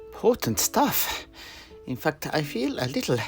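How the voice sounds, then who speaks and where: A man's voice: fairly high pitch, sharp tone, heavily acted. A man playing a groggy, gullible warrior in a fantasy audio drama, speaking in a hesitant, theatrical way.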